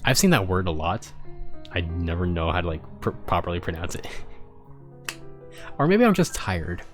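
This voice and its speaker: A man reading fan fiction aloud in a casual, expressive way, breaking off to comment and swear. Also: deep voice, normal speed, soft background music. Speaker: fanfiction reader. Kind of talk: casual expressive audiobook-style reading with reactions.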